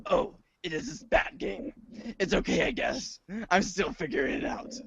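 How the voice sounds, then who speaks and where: A man voicing a brooding, gruff, melodramatic Batman-style boy hero in a gravelly voice for comic read-along theater. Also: high-pitched, huge pitch swings, normal speed, a bit bright, dry recording, pitched above the performer's own voice, full character voice. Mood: gruff, casual. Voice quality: gravelly, husky. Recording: muffled, dull lo-fi audio.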